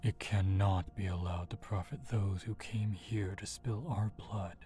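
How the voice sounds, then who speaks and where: A man's voice: low-pitched, steady tone, measured pace, warm tone. A man playing a solemn ancient spirit in a comic dub, speaking in a grave, foreboding manner. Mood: grave warning.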